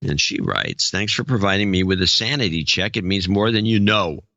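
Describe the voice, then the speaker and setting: A man's voice: low voice, normal speed, bright, forceful tone, no echo, lo-fi sound. A man co-hosting a talk podcast, reading listener notes aloud with dry humor. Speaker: podcast co-host. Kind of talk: casual chat, reading donor notes.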